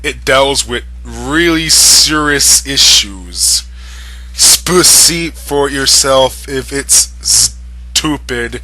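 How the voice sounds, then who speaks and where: A man's voice: mid-range voice, extremely slow, sharp tone, no room sound, soft background music, low-quality recording. A man reading fan fiction aloud for comedy, breaking in with mocking, amused asides. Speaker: reader.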